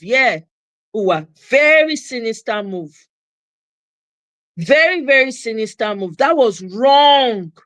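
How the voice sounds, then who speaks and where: A woman's voice: a little high, fairly bright tone, no room sound. A Nigerian woman hosting a commentary channel, speaking in a passionate opinion monologue and repeating her points for emphasis, with Pidgin phrases in her accent.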